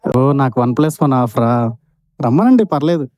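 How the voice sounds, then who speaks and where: A man's voice: medium pitch, very animated, brisk, dark tone, no echo. A man answering a phone call and chatting casually. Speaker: boyfriend receiving a prank call.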